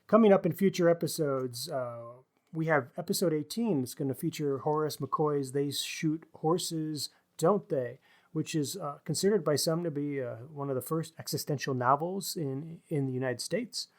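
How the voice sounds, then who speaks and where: A man's voice: on the high side, normal speed. A man co-hosting a podcast, speaking conversationally with a wry manner as he makes show announcements. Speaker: podcast co-host.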